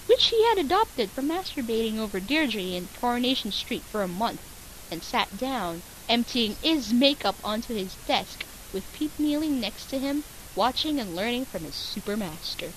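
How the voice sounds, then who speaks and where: A woman's voice: on the high side, sing-song, normal speed, rough recording. A woman narrating a fan fiction as a comic dramatic reading, with playful, exaggerated storytelling. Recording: hissy amateur home recording.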